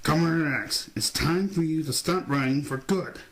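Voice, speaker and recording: A man playing a grim enforcer in a superhero audio drama, solemn, deliberate and menacing, with music playing. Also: a little high, a bit bright, pitched above the performer's own voice. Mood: stern, condemning. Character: grim male enforcer sent to judge a fugitive.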